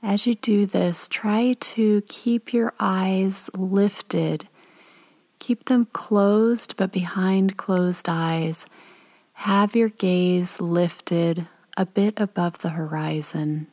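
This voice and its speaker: A woman leading a guided meditation in a calm, gentle, soothing way, with soft and at times breathy delivery and pauses between instructions. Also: mid-range voice.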